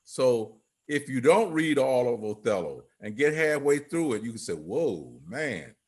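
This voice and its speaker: Older man speaking haltingly, informally. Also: medium pitch, very animated, slightly rough. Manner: halting, rambling delivery. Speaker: theatre company leader. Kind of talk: informal closing remarks. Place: group video call.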